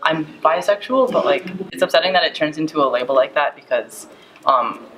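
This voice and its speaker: A teenage boy, a high school junior, talking casually with fillers in a documentary interview. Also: animated, brisk, crowd noise, rough recording. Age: teens.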